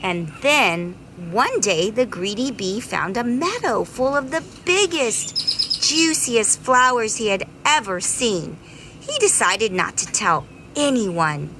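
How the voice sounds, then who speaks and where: Woman storyteller, unhurried pace, very lively pitch, dramatic drawn-out words, children's picture-book reading, some background noise. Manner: dramatic, drawing out words for young listeners. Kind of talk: expressive children's storybook narration.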